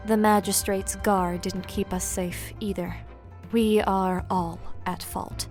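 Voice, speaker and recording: A man's voice: sits high, level tone, slow pace, soft background music, pitched above the performer's own voice. A man voicing a stern young woman ninja leader who speaks with firm command. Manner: commanding, reproachful.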